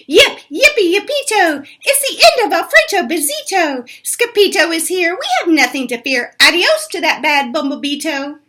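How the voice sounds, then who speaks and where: A woman's voice: very high-pitched, very animated, moderate pace, few pauses, bright, forceful tone, voice pushed up, heavily acted. Woman chanting as a yapping chihuahua chorus. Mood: cheering. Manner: chanting, sing-song, jubilant.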